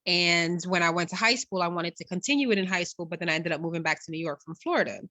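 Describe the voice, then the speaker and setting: A woman's voice: on the low side, quick pace, sharp tone, dry recording. A woman being interviewed as an actress, casually telling personal stories.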